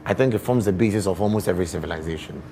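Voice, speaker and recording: A Ghanaian man explaining things as a guest in a TV interview, expressive and deliberate, often posing rhetorical questions. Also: low-pitched, slight background noise. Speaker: guest poet and storyteller.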